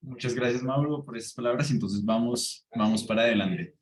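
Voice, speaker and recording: A man's voice: medium pitch, a little fast. A man moderating an online session, speaking briefly and hesitantly.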